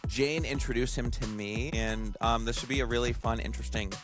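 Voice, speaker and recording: A man hosting a podcast while sick, with a raspy voice. He rambles and apologizes in a casual, self-deprecating way over a video call. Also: mid-range voice, fairly even intonation, brisk, music in the background. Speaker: podcast host. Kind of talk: casual chat.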